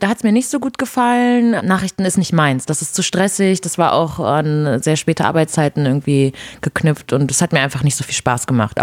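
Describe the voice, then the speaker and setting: A woman's voice: on the low side, dry recording, studio quality. A woman from Brazil, a native Portuguese speaker in her thirties, chatting frankly in an interview as the guest. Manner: relaxed, frank, with fillers. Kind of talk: casual interview chat.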